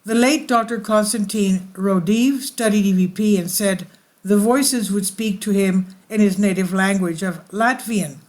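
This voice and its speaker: A woman's voice: mid-range voice, measured pace, a little mellow. A woman hosting her channel, reading an article aloud and often stumbling and correcting herself mid-sentence. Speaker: channel host.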